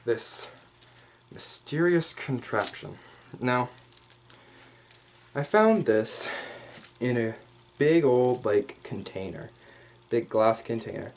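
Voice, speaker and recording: Man acting as hyena furry commander, ASMR roleplay, mid-pitched, casual, rambling, slangy, playfully cocky, background noise. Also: animated. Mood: casual, boastful. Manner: casual, rambling, with slangy asides and hesitant pauses. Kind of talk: improvised ASMR roleplay to the listener.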